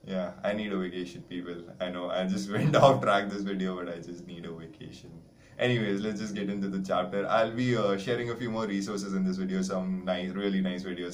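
A man's voice: sits low, level tone, brisk, slight background noise. A man in his thirties giving a casual, rambling online lesson to students from a small room. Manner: rambling, chatty, self-mocking. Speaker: teacher.

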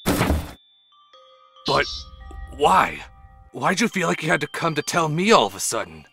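Man voicing a gravelly, hot-tempered teen delinquent. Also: a little high, very animated, takes it slowly, pushed and bright, soft background music, exaggerated voice. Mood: startled, confused. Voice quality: rough, gravelly. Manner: intense, brooding, explosive. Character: hot-tempered, tormented teenage delinquent tough guy. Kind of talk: fan manga dub acting.

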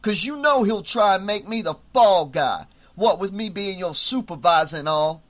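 A man acts out a bullying hotel supervisor who snarls threats at his staff. The voice sits high and hard-edged, and every line is pure contempt. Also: animated, normal speed, a bit bright. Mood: threatening. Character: menacing brutish male hotel supervisor, bullying.

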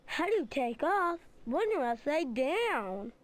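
A woman voicing an earnest, unsure baby bird in an animated storybook game, sounding puzzled over faint background ambience. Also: sits high, takes it slowly, heavily acted.

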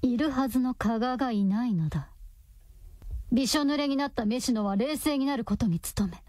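A woman's voice: fairly high pitch, moderate pace, warm tone, no room sound. A woman delivering dramatic, scripted narration for a radio drama.

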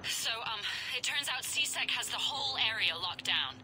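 A woman's voice: a little high, animated, normal speed, pushed and bright, soft background music. A woman playing an eager, nervous young staff analyst on comms in a video game, hesitant and earnest. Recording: noisy game action behind the voice.

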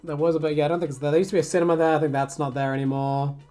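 A woman's voice: low-pitched, level tone, very fast, warm tone, faint music behind. A young woman chatting on a stream in a laid-back, grumbling way, swearing now and then. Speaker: streamer and artist. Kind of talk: casual stream chat.

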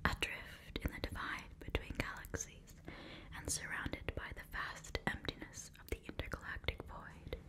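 A young woman reading scripted material in an ASMR whisper, close to the microphone. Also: normal speed. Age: young adult. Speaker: ASMR creator reading a sponsor message.

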